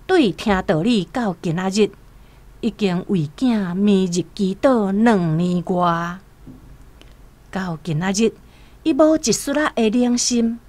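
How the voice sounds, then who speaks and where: A woman with a Taiwanese accent narrates a scripted story for radio in an expressive, deliberate, dramatic way. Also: mid-range voice. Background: music.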